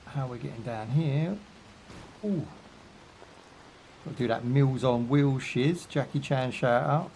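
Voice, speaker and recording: A man's voice: medium pitch, unhurried, pauses often, a little mellow, a little hiss or hum, rough recording. A young British man chatting casually while he plays a game live, rambling through jokes, asides and fillers. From United Kingdom. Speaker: gaming streamer. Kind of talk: casual live gameplay commentary.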